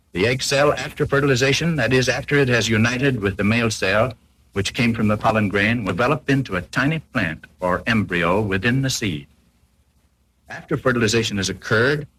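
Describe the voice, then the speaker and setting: A man with a husky, slightly rough voice at a middling pitch narrates an educational film in 1940s style. He reads from a script formally, explaining each point carefully like an instructor. The sound is that of an old film soundtrack from the period. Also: fairly bright tone, rough recording.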